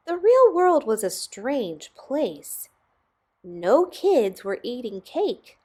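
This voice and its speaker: A woman reading a children's picture book aloud expressively, with dramatic pauses and emphasis on key words. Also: a little high, sing-song, warm tone, slightly rough. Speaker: storybook reader.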